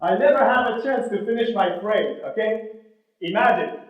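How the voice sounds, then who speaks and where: A man's voice: high voice, moderate pace, highs cut off. A man with a French-Canadian accent, a native French speaker, giving a motivational keynote speech and acting out his stories with animation. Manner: animated storytelling, acting out dialogue. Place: large room, speaking to a live audience. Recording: very echoey hall sound.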